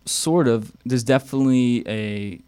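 A man's voice: mid-range voice, fairly even intonation, measured pace, warm tone, clear, resonant tone, dry recording. A young man from the Bahamas with a Bahamian accent, a radio guest chatting casually and hedging with many "like"s. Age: young adult. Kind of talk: casual interview. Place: radio studio.

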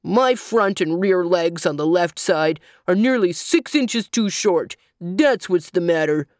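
A woman's voice: on the low side, lively intonation, measured pace, darkish tone, no echo. A cross, clumsy male donkey from a children's tale, acted out by a woman reader. He complains indignantly and grumbles his way through every line. The recording is close and dry. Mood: angry, grumbling. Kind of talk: children's storybook character reading.

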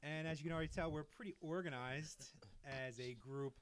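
A man's voice: a little high, moderate pace, smooth. A man in his early forties hosts a podcast, speaking in a casual chat and steering the talk along easily. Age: 40s. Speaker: podcast host.

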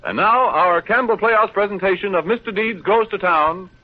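A man announcing in polished, scripted 1930s radio sales style with measured delivery. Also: sits high. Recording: thin, narrow-band 1930s radio broadcast.

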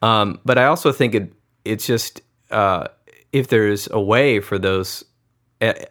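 Very deep-voiced thoughtful young man. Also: fairly bright tone. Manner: thoughtful, musing, many fillers. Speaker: podcast host. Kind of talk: reflective conversation.

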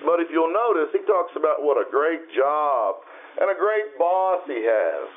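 An actor playing a patient, wise spirit guide in an old-time radio drama, explaining calmly with gentle reproach. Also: male voice, a little high, moderate pace, natural voice. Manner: calm, explanatory, gently admonishing. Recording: tinny phone-like band, noisy radio sound.

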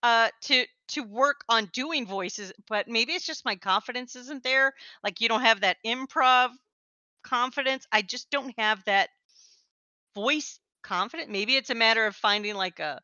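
Chatty Midwestern woman, bright voice. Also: a little high, unhurried, no room sound, dull audio. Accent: Upper Midwest American. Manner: chatty, self-deprecating, laughs often. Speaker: podcast co-host. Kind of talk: casual chat.

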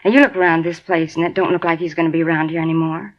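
A woman's voice: deep voice, steady tone, very fast, a little hiss or hum, lightly acted. A woman playing a chatty, folksy landlady. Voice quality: talkative, folksy. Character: chatty middle-aged female apartment landlady. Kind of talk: 1950s radio drama acting. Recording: lo-fi 1950s radio transcription.

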